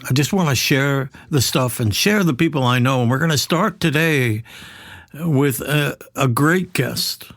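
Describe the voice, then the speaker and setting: A middle-aged man hosts a podcast in a dry, close recording. His voice sits at a medium pitch, and he talks conversationally, with halting, emphatic phrasing and repeats for weight. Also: fairly bright tone, no echo. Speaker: podcast host.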